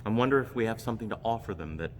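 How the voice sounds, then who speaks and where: Deep-voiced man preaching in long reverb. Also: expressive, racing. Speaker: preacher giving a homily. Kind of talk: reflective sermon with personal stories. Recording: long reverb, background noise.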